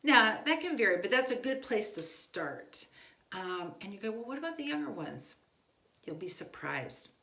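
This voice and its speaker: A woman's voice: medium pitch, very animated, normal speed, gravelly, sharp tone. A woman giving casual, conversational instructional advice as a homeschooling parent. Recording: echoey room.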